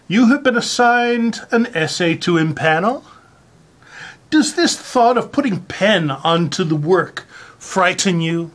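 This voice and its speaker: A presenter with a halting, hesitant, deadpan delivery, speaking in a mock-serious way while sharing a piece of writing aloud. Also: medium pitch. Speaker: presenter reading a found article aloud. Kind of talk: rambling deadpan introduction and mock-serious dramatic reading.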